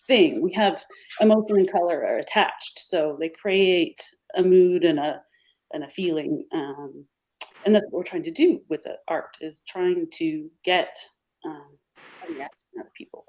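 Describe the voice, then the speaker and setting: A woman with a lowish voice speaks reflectively over a video call, her sentences trailing softly away. She sounds thoughtful and unhurried. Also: rough recording. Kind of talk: casual panel talk.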